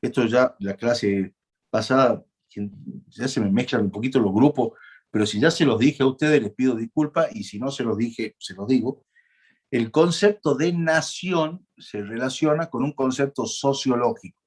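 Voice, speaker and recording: A man's voice: mid-range voice, normal speed, husky. A man from Argentina, giving a university law lecture in a conversational way, often asking the class questions, using frequent fillers and restarts.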